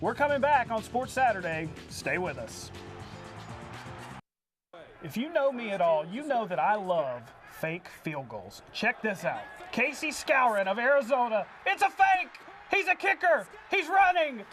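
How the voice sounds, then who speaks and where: A high-pitched American man anchors a TV sports show. He reads highlights with punch and energy, with light music behind him. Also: sing-song, measured pace. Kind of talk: scripted TV sports highlights commentary. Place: TV studio.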